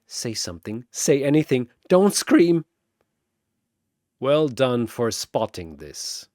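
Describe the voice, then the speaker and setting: A man's voice: mid-range voice, slow pace, no echo, studio quality. A man voicing a secretly terrified fighter who bluffs with bored condescension in a battle story. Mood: panicked. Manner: flat, bored, condescending out loud.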